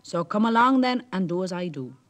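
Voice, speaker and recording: A man's voice: high-pitched, animated, fairly quick, a bit bright, voice pushed up. A man voicing a sly, jovial old male merrow who talks in a knowing, wheedling way.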